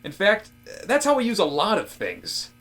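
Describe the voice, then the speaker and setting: Guy as casual office dude with intense theories, bright voice, earnest and rambly, comedy audio play. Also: sits high, huge pitch swings, moderate pace, soft background music, exaggerated voice. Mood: thoughtful conviction. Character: Rob, eager, casual male office worker with intense theories. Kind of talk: comedic audio drama acting.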